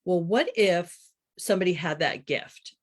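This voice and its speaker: A woman's voice: lowish voice, unhurried. Conversational woman author in her fifties. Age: 50s. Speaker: guest author. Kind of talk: casual interview chat.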